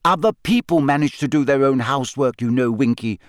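A man voicing a brisk, sensible teenage girl who sometimes speaks severely. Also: sits high, very animated, breathy edge, no echo, studio quality, voice pushed up, exaggerated voice.

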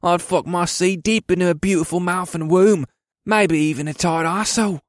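A man's voice: high-pitched, fairly even intonation, moderate pace, no room sound, clean studio sound, pitched above the performer's own voice, natural voice. A man voicing crude, cocky young male elf soldiers who grumble and sneer, in a fantasy audiobook. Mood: lewd smirking.

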